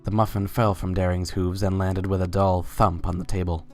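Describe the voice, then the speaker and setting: Low male voice, dramatic storybook narrator, scripted reading, faint music behind. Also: little pitch movement, moderate pace, darkish tone.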